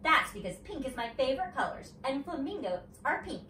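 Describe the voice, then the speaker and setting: A woman's voice: sits high, sing-song, fairly quick, bright, forceful tone, echoey room, far from the mic, slight background noise, pitched above the performer's own voice, exaggerated voice. A woman voicing a vain, prissy young schoolgirl in a storybook, with an affected, self-satisfied manner.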